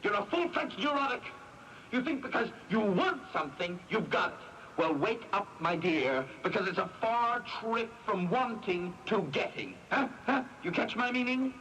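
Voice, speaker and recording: Animated, hot-tempered spoiled younger man. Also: sits high, normal speed, poor audio. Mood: scornful anger. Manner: needling, sneering, with stammered repetitions. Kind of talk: 1980s radio drama acting. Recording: hissy 1980s AM radio airing.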